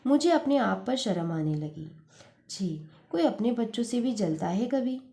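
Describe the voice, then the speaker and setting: Mellow woman storyteller, earnest and measured. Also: a little high, animated. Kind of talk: expressive literary story narration.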